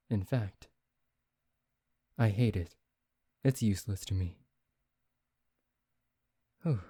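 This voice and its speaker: A man playing a brooding, taunting vampire in an ASMR roleplay, speaking in a theatrical, deliberate, teasing way. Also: on the low side, mellow, dry recording, studio quality. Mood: warning, smug. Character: brooding, taunting male vampire bent on revenge. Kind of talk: ASMR roleplay, soft dramatic acting.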